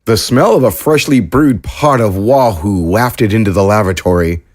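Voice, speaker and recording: A man narrates a science fiction audio drama in a low voice. His telling is measured and even, a steady scripted read that carries the scenes.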